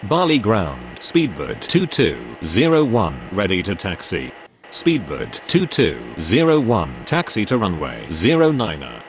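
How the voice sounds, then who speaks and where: A man's voice: low voice, wildly swooping pitch, a little slow, slight background noise. A man making procedural aviation radio calls in set phraseology. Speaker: pilot and air traffic controller radio voice.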